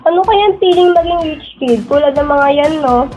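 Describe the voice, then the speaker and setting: A woman's voice: high-pitched, normal speed, a little mellow, faint music behind, lightly acted. A young woman acting as a boy-crazy, envious teenage schoolgirl in a radio drama. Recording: tinny phone-like audio.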